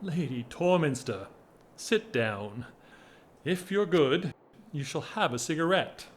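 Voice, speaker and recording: A man performs a restless, whimsical gentleman of title in an audio drama. His voice sits a little high for a man and moves very slowly through wordy, mock-formal phrases. The delivery is theatrical and teasing. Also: lively intonation. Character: whimsical, restless English gentleman, an aristocrat in love. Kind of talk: stage-style audio drama acting.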